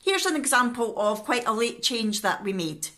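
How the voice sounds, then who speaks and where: A middle-aged Scottish woman talks casually to camera, chatty and informal. Her voice is fairly high and clearly bright, recorded on a phone held at arm's length at home. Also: animated, moderate pace. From Scotland. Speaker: vlogger and pantomime scriptwriter. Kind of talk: casual vlog talk to camera. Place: living room at home.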